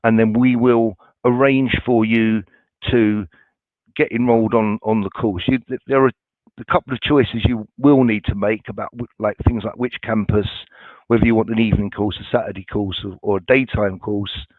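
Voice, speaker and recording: A man's voice: on the low side, steady tone, moderate pace, no room sound. A man from a college staff answering viewer questions in a webinar, informal and reassuring, with many ums and restarts. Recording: dry, tinny phone-like sound.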